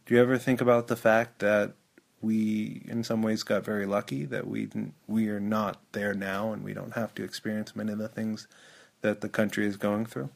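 A man hosting an interview podcast, asking measured, gentle questions. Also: fairly low pitch, level tone, creaky. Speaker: podcast host. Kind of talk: interview conversation.

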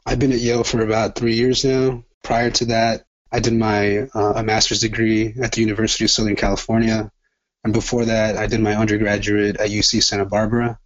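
A man's voice: fairly low pitch, completely flat delivery, brisk, highs cut off. A man in his twenties, born in El Salvador and raised in Los Angeles, speaking as a guest in a thoughtful, reflective way with frequent restarts. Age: 20s. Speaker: guest, doctoral student. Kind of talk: conversational interview.

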